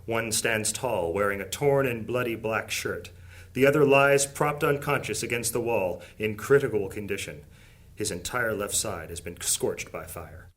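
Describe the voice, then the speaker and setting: A man narrating an audio drama in a steady, descriptive way. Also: mid-range voice, a little hiss or hum. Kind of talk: scripted narration.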